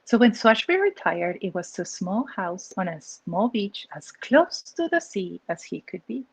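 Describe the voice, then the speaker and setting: A woman from Peru with a Peruvian accent, an author reading her picture book aloud with expressive storytelling and asides. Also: mid-range voice, normal speed, creaky, slightly rough, dry recording, low-quality recording.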